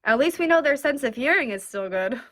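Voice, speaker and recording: A woman's voice: sits high, lively intonation, brisk, a bit bright, slight background noise. A woman playing a take-charge, wisecracking studio worker in a radio play. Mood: brisk, wry.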